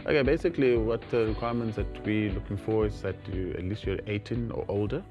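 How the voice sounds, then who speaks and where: Male South African expert guest, lowish pitch, formal and stop-start delivery, music underneath. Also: fast talker, dull audio. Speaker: guest, air traffic controller. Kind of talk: explanatory interview answers. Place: TV studio.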